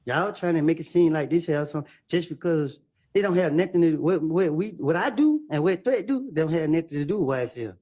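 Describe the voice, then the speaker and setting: A man's voice: on the high side, animated, a little fast, mellow. Defensive man testifying on the stand. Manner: defensive, repeats himself. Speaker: witness. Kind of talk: courtroom testimony.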